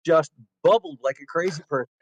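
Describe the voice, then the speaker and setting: A man's voice: a little high, fairly quick, no room sound, dull audio. A man hosting an interview show, chatting in a friendly way and praising his guest. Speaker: show host. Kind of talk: casual interview chat.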